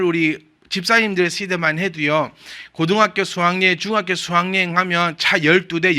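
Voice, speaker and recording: Lively high-voiced pastor with Gyeongsang accent. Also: male voice. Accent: Gyeongsang Korean. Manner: chatty and humorous, asks the congregation questions. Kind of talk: conversational sermon.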